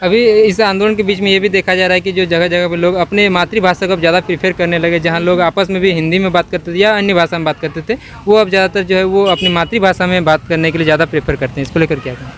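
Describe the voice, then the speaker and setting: A man working as a news interviewer, putting long questions in a halting way. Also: high-pitched, fairly even intonation, a bit bright, slight background noise.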